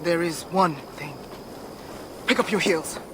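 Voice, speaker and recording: A woman's voice: on the low side, takes it slowly, a bit bright, a little hiss or hum. Fierce, imperious Norse goddess mother. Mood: bitter, reproachful. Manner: imperious, archaic formal phrasing. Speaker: voice actor in a video game. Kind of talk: dramatic game cutscene acting.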